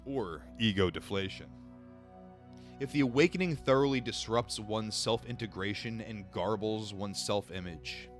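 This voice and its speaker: A man's voice: fairly low pitch, a little slow, faint music behind. A young man reading a book aloud on a livestream, stumbling over words now and then and breaking off to answer chat in a casual, blunt, swearing way.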